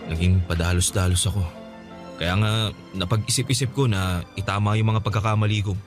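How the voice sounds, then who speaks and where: A lowish male voice of a guilty young man, played by an actor in a radio drama, pleading earnestly and stumbling over his words. He sounds sorry but insistent. Quiet music sits underneath. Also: steady tone, fairly quick, lightly acted. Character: remorseful young man pleading for another chance. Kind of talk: Tagalog radio drama acting.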